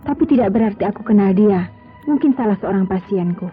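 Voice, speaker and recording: An actress playing a proud, cool and stubborn doctor wife quarrelling in an Indonesian radio drama. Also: female voice, medium pitch, expressive, brisk, husky. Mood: defensive. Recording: tinny old radio drama, music behind.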